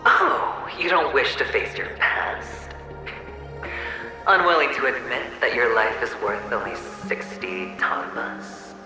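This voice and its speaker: Game villain-self: mocking, theatrical young man, slow swaggering delivery, heavily reverberant. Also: medium pitch. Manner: mocking, drawn-out, sing-song swagger with sighs and chuckles. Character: taunting, theatrical inner self of a young male gambler. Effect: echoing reverb on the voice. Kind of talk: video game voice acting. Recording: echoing game cutscene with music. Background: music.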